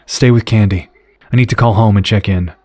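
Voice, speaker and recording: An actor playing a troubled, dutiful superhero team leader in an audio drama, subdued and serious. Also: male voice, on the low side, noisy background, lightly acted.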